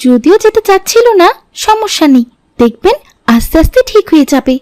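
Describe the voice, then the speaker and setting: Pious, helpful cartoon girl, played by a woman: very high, lively pitch, respectful and earnest. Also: brisk, no echo. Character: polite, pious, helpful young daughter. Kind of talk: Islamic cartoon dialogue.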